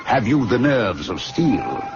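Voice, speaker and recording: A man's voice: mid-range voice, big pitch swings. An actor playing a sly, teasing, confident young medical man who needles and banters, in an old-fashioned radio drama. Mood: needling. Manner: needling, bantering, drawn-out questioning. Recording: dull lo-fi radio recording, music.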